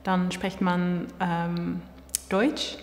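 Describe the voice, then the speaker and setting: Low-voiced woman, calm student. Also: very slow. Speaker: music student, singer. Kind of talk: interview answers.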